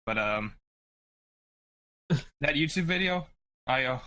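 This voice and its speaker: A man chatting casually on a podcast panel, halting and stammering as he starts his phrases. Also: on the high side, expressive, bright, forceful tone. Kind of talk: casual chat.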